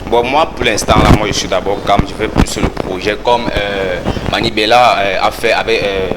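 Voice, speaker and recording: A man's voice: on the low side, steady tone, moderate pace, background noise. A man with a Cameroonian French accent, a guest musician talking casually and laid-back, often adding "vous voyez un peu". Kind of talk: casual interview talk.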